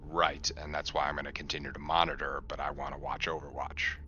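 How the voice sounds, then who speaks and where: A man with an extremely deep voice and a hard, forceful edge plays a tabletop roleplaying game. He talks in a halting way over background noise. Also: level tone, highs cut off. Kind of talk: in-character game table chat. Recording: clear background noise and ambience.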